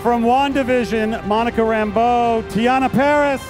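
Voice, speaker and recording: Male stage presenter, middle-aged, high voice, live announcement style over music. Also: steady tone, moderate pace. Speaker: presenter at a fan convention. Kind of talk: stage announcements introducing guests. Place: large convention hall stage. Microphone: handheld microphone.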